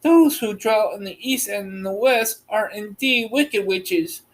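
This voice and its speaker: A woman's voice: medium pitch, unhurried, pitched above the performer's own voice, natural voice. A woman voicing a kindly, grateful little old good witch in a storybook read-aloud. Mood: reassuring, earnest.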